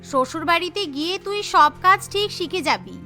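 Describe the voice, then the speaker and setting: A woman's voice: very high voice, moderate pace, bright, forceful tone. A woman voicing a sensible, caring, dutiful young bride in a cartoon, polite and reassuring. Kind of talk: Bengali cartoon voice acting. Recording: music behind the voice.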